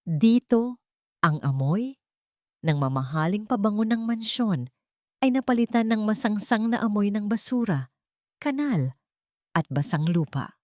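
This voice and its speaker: A woman's voice: mid-range voice, expressive, a little slow, long pauses, dark tone, dry recording, clean studio sound. A woman narrating a scripted dramatic story as an audiobook, with a solemn, emotive delivery.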